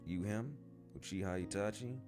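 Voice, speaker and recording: A man's voice: on the low side, moderate pace, natural voice. A man voicing a cocky older schoolboy bully from a storybook, slangy, taunting and condescending. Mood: challenging. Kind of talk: storybook character dialogue. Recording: guitar music behind the voice.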